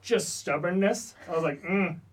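A young man talks as a guest, joking and poking fun at himself, quick to laugh. His voice sits a little high for a man. He sounds set back a bit from the microphone. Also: slightly rough, recorded from a distance. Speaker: guest, Army Russian linguist. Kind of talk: casual interview chat.

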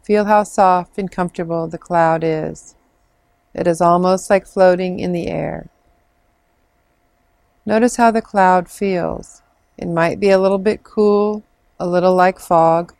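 Very slow, soothing woman guiding meditation. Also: on the low side, steady tone, a little mellow, no echo. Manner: soothing, measured, with long pauses. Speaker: meditation guide, clinical social worker. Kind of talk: guided imagery meditation.

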